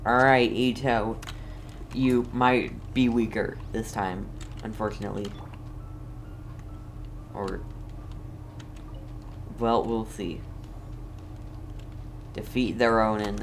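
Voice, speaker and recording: A man's voice: on the low side, fairly even intonation, slow pace, long pauses, soft background music. A man giving casual gameplay commentary as a streamer, in an offhand, playfully repetitive mutter. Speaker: game streamer.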